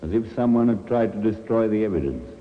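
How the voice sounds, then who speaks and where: Male actor as determined police inspector, deliberate, grim, old radio drama, lively intonation, tinny recording. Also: fairly low pitch, close to an everyday voice. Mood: grimly determined. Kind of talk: 1950s radio drama acting. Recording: tinny phone-like old radio, faint noise.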